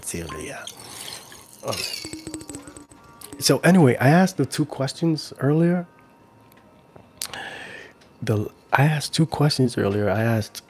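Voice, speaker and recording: Middle aged guy doing asmr stream chat, soft n murmury w some whispering, close mic, bit of background noise. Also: mid-range voice. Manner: soft-spoken, drifting between murmured talk and whispers. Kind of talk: ASMR live stream, casual chat with viewers. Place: small indoor gaming room. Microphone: handheld-style recorder mic close to the mouth.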